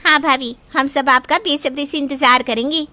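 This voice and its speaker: A woman's voice: high voice, steady tone, moderate pace, pushed and bright, a little hiss or hum. A woman playing an eager, accommodating female relative in an audio story, obliging and reassuring. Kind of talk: acted Hindi audio story.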